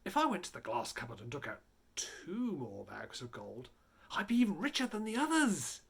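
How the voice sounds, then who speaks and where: Man playing a fairy-tale thief, greedy, restless, eager, scheming, wildly swooping pitch. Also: wildly swooping pitch, normal speed, vocal fry, fairly bright tone, breathy edge, heavily acted.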